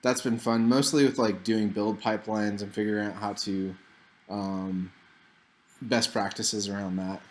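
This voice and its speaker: A man's voice: lowish voice, measured pace. A man hosting his developer channel and chatting casually on a video call, loosely and a bit rambling. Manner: upbeat intro, then relaxed and rambling. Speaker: YouTube host and developer.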